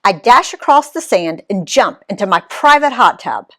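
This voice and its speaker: A woman reading her own family's travel story aloud in the first person, playing up key words. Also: moderate pace. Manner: expressive reading, pitch swinging on key words.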